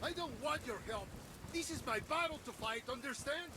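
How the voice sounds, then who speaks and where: Proud local man, high lively voice, noisy game audio. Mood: defiant. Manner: guarded, grave, measured. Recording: game audio with loud background noise.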